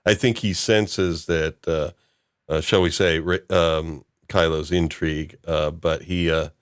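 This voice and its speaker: A man hosting a casual discussion, recapping in a halting, loosely improvised way. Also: low voice, fairly even intonation, no room sound, clean studio sound. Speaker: host of a discussion show.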